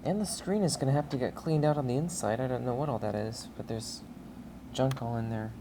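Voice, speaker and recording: Woman, very low pitch, casual hands-on commentary, matter-of-fact, noisy basement recording. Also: fairly quick. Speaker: hobbyist video creator.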